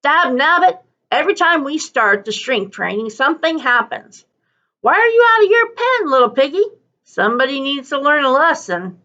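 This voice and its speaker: Woman acting gruff folksy dog-team driver man, raised pitch. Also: big pitch swings, moderate pace, pushed and bright, dull audio, full character voice. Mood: exasperated, scolding. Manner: exasperated barking, old-time mild cussing. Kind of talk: children's storybook character read aloud.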